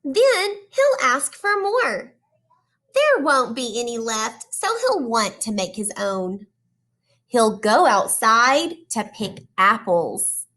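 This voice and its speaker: High, bright woman reading to children. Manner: addresses children directly with questions and praise; deliberate, expressive storytelling. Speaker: teacher reading aloud to young children. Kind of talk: children's storybook read-aloud.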